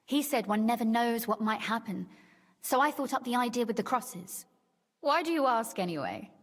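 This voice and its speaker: A woman's voice: a little high, expressive, moderate pace. An actress playing a young, curious noblewoman in a video game, speaking in a genteel, articulate and teasing manner. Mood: helpful explaining. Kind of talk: video game dialogue acting. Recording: long reverb tail, faint game music behind.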